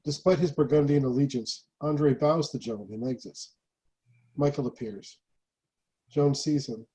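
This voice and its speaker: A man reading stage directions as scripted narration in a mellow voice. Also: medium pitch, unhurried, rough recording.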